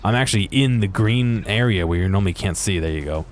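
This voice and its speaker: A man's voice: on the low side, fast. A man giving casual, rambling and hesitant commentary over game footage. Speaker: video narrator.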